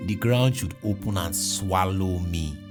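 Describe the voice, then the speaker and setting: A man with a Nigerian accent, a podcast host telling a comic personal story in an animated, jokey way with exclamations. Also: low-pitched, unhurried, music in the background.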